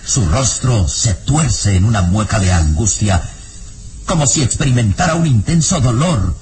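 A man's voice: medium pitch, lively intonation, unhurried, noisy background. A man narrating a scripted radio serial in a theatrical, suspenseful manner. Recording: radio drama with loud music behind.

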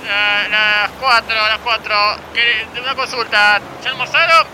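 A high-voiced, officious Argentine radio dispatcher played by a man over loud engine noise. He gives clipped, repetitive bulletins in an absurd comedy sketch. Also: steady tone, unhurried. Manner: clipped announcements, repeats phrases. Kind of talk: comic radio dispatch parody.

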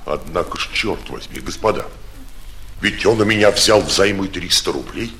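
An actor playing an indignant, ponderous provincial official in 1940s Russian stage style. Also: male voice, deep voice, animated, moderate pace, bright, forceful tone, slight background noise, heavily acted. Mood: indignant, baffled.

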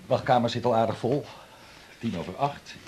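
An actor playing a businesslike, friendly doctor showing a new colleague around in a radio drama. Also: male voice, mid-range voice, normal speed, noisy background, natural voice. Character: brisk senior male doctor, a kindly colleague. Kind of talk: 1980s radio drama acting.

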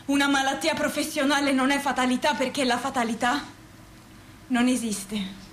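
A woman's voice: high-pitched, fairly even intonation, normal speed, a bit bright. A woman in her twenties playing a defiant young worker, declaiming an emphatic, rhetorical monologue on stage. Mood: defiant, anguished. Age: 20s. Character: defiant young working woman confronting workplace deaths. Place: live stage performance in a roomy space. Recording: echoey stage with faint noise.

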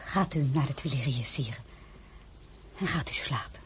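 An actress playing a dignified, lonely crown princess, frank and wistful, speaking intimately in a dramatic audio play. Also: female voice, a bass voice, expressive, normal speed, a little hiss or hum. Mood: pointed, concerned. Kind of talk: dramatic radio play acting.

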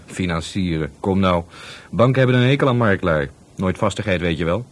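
A man's voice: deep voice, expressive, fairly quick. A man playing a cagey, cheeky market trader in a Dutch radio play, breezy, slangy and evasive. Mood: offhand.

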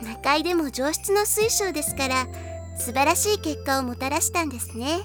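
A woman playing a gentle, caring older-sister girl in an anime audio drama, speaking softly and politely. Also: high voice, expressive, moderate pace. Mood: politely curious. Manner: soft, polite, formal speech. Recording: music bed under the voice. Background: music.

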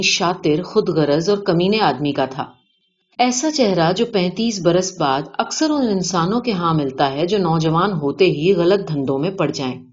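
A woman's voice: on the low side, a little mellow. A woman reading a scripted short story as an audiobook narrator, in a recording with dull, band-limited sound.

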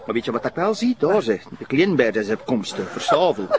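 Grumbling, animated policeman, played by an actor. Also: mid-range voice, brisk, background noise, close to an everyday voice. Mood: complaining. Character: grumbling, down-to-earth policeman bored on watch. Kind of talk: radio drama acting in dialect.

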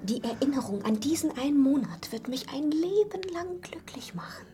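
An actress in a German radio play playing a young woman torn by love, secretive and tender, with hushed, urgent delivery. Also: sits high, lively intonation, moderate pace, soft background music.

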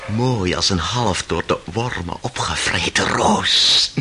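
A man's voice: low voice, slow, sharp tone, breathy edge, heavily acted. An actor playing a bitter, tormented intellectual man in a radio play, sardonic and theatrical, brooding and given to outbursts. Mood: mocking, bitter. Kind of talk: dramatic radio play acting. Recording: noisy old radio play recording.

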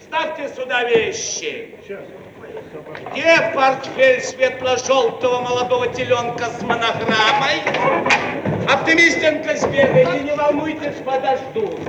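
An actor playing a pompous, self-important Soviet bureaucrat in a theatrical satirical radio comedy, officious and oratorical. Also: male voice, very high voice, measured pace, dull audio, over loud music. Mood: indignant. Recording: old radio archive, echoey, distant, noisy.

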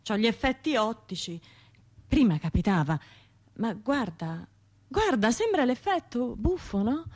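An actress playing an anxious, self-doubting trauma survivor in a radio drama, talking to herself in broken, halting phrases with a Tuscan accent. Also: female voice, on the high side, very animated, a little mellow. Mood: troubled, defensive. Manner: halting, fragmented, self-interrupting inner monologue.

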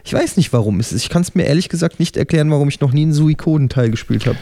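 A man's voice: fairly high pitch, racing, mellow, no echo. A German man in a casual podcast chat, talking in a hesitant, musing way. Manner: hesitant, musing, self-questioning. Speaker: podcast co-host.

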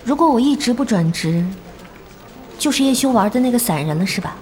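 A woman's voice: a little high, expressive, a little fast, darkish tone, natural voice. A woman playing a stubborn, determined young novice gamer in an audio drama, with a resolute manner. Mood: curious. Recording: music bed under the dialogue.

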